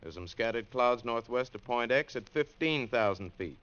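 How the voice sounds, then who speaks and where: An actor playing an easygoing, dutiful military weather briefer in an old radio drama, reporting item by item. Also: male voice, medium pitch, expressive, moderate pace, a bit bright, smooth, lightly acted. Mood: matter-of-fact. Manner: orderly, itemised reporting. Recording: muffled vintage radio recording.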